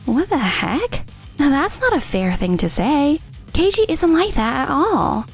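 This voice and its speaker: A woman as a high-pitched, protective young coworker. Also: sing-song, measured pace, faint music behind, heavily acted. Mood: indignant, protective. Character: protective, outspoken young female office coworker. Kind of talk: English manga dub acting.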